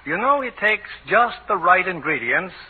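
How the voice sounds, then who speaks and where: Animated, husky 1940s radio announcer man. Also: sits high, normal speed. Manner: theatrical, polished presenting. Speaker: announcer and host. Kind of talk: 1940s radio scripted narration. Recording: muffled, tinny 1940s radio broadcast.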